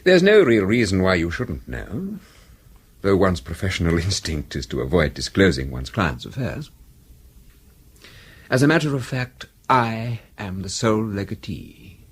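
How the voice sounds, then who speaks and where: An actor playing a formal, obliging English solicitor in a British radio drama, speaking politely with a Received Pronunciation accent. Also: male voice, low voice, very animated, unhurried, breathy edge, exaggerated voice. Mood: polite, confidential. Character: courteous, discreet English solicitor, a man of business.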